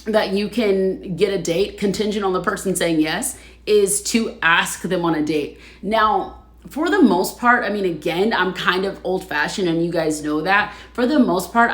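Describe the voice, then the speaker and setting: Woman host, casual livestream chat, a bit low-pitched, roomy echo; chatty, laughs a lot. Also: normal speed. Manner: chatty, laughs often, reads viewer comments aloud.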